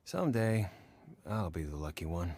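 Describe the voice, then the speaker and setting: A disappointed man who lost out at an auction in a video game. His very low voice is glum and resigned. A faint hiss runs under it. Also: expressive, moderate pace, a little mellow. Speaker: auction bidder. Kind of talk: game voice acting.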